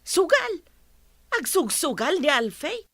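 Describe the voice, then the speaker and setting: A woman's voice: very high-pitched, very animated, slow, vocal fry, no room sound, studio quality, exaggerated voice. A woman with a Filipino accent playing a concerned mother in a radio drama. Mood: concerned, questioning.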